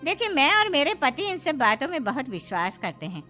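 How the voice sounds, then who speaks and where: A woman's voice: high voice, sing-song, brisk, pushed and bright, soft background music, pitched above the performer's own voice, heavily acted. A woman voicing a blunt, haughty, superstitious middle-aged mother of a groom in a cartoon story. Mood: disapproving.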